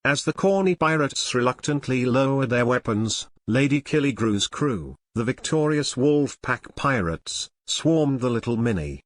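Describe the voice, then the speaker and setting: A man's voice: mid-range voice, lively intonation, measured pace, hardly any pauses, dry recording. Male audio drama narrator, dry sound.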